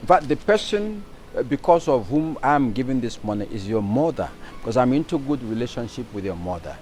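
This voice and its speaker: A man's voice: mid-range voice, very animated, fairly quick, darkish tone, a little hiss or hum, natural voice. A man with a Nigerian accent playing a stern, self-important moneylender in a film drama. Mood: stern, condescending.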